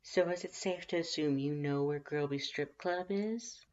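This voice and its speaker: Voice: medium pitch, normal speed, a little mellow, lo-fi sound, pitched above the performer's own voice, close to an everyday voice. A performer voicing a playful male skeleton who talks in a teasing, flirtatious purr. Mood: teasing, flirty.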